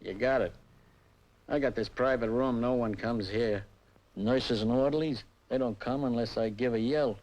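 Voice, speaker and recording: An actor playing a genial, confident older political fixer in an old crime drama, easygoing and knowing, talking in clipped phrases. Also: male voice, medium pitch, moderate pace, a little mellow, lightly acted, poor audio. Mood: confident, obliging. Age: middle-aged or older.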